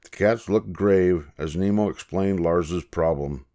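An older man narrating a children's picture book in a measured, expressive storytelling manner. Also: low-pitched. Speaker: storybook reader.

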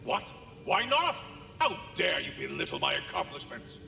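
Arrogant spirit squirrel, high-pitched, creaky, bombastic, loud game character, long reverb. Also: male voice, very animated, moderate pace, slight background noise, full character voice. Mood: boastful indignation. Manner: bombastic, self-important. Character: arrogant, boastful, indignant male squirrel spirit. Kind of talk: video game character acting. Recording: long reverb, music behind.

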